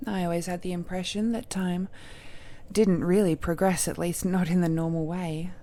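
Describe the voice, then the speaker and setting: A woman's voice: on the low side, fairly even intonation, moderate pace, dark tone, close to an everyday voice. A woman playing an ancient cursed deity, a possessive villainess who is tender yet menacing, speaking intimately and often dropping into whispers in an audio roleplay.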